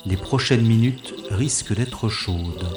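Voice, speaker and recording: A man's voice: lowish voice, takes it slowly, roomy sound, over music. A man voicing a wry, self-mocking secret agent who narrates his own mission in an audio drama. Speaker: first-person narrator-hero. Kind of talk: audio drama inner monologue.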